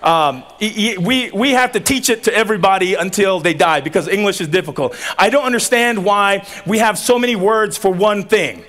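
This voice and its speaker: Animated man preaching at a graduation. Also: sits high, normal speed, hardly any pauses. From Tonga. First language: Tongan. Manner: animated, joking, audience call-and-response. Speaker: commencement speaker. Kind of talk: lively commencement sermon. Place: outdoor tent stage at a graduation.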